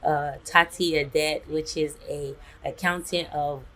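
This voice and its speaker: Low voiced woman hosting a webinar, super excited and chatty. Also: a little slow. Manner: enthusiastic, chatty. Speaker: webinar host.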